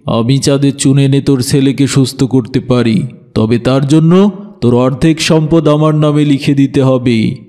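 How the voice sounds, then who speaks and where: A man acting a scheming holy man in a cartoon. The voice is dark and mellow at a middling pitch. He talks brusquely and commands with an ominous, greedy air. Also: fairly even intonation, moderate pace, natural voice. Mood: sly, commanding. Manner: ominous pronouncements, repeats phrases, addresses others brusquely. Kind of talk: dramatized cartoon dialogue.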